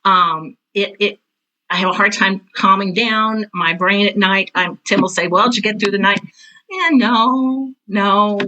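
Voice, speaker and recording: A woman's voice: medium pitch, a little slow, bright, forceful tone, muffled recording. An older woman talking casually as an interview guest, with a direct, intense and emphatic way of repeating phrases. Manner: self-described intense, direct. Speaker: guest, theater teacher and author.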